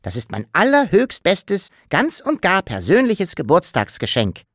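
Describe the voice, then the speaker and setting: A man's voice: high voice, wildly swooping pitch, a little slow, a bit bright, dry recording, clean studio sound, voice pushed up, full character voice. A man voicing a playful, mischievous childlike creature in an audiobook, with a bouncy, teasing way of talking. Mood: cheeky. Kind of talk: audiobook character voice.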